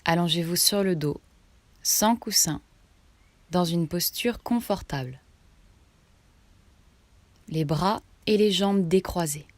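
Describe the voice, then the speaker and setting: A woman guiding a relaxation meditation, giving instructions in short phrases with pauses between them. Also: lowish voice, dry recording. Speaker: yoga nidra meditation guide.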